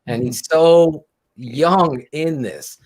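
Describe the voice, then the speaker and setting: A man's voice: a little high, extremely slow, darkish tone, rough recording. A man co-hosting a casual sports talk show, telling stories animatedly and laughing often. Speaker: podcast co-host.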